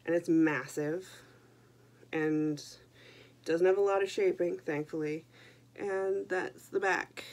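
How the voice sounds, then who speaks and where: Young woman, low voice, congested from a cold; casual, self-deprecating vlog host. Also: darkish tone. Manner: chatty, self-deprecating, jokey asides. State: stuffy with a head cold. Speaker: knitting podcast host. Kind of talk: casual chat to camera.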